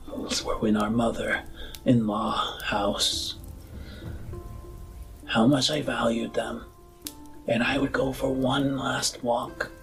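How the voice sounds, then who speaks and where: A man playing a solemn, earnest politician burned and bound to a chair, with a damaged, breathy rasp and formal, measured speech. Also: medium pitch, poor audio. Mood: sorrowful, wistful. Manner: slow, measured, formal oratory.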